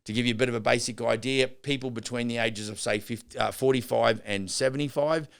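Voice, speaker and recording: Gravelly, smooth-voiced rambling podcast man. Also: mid-range voice, steady tone, a little fast. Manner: rambling, many restarts and fillers. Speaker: podcast host and builder. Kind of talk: unscripted business podcast monologue.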